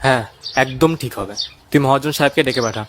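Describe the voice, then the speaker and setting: A man's voice: mid-range voice, fast talker, slight background noise, close to an everyday voice. A man playing a bold, inquisitive young investigator in a horror cartoon, earnest in delivery. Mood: confident.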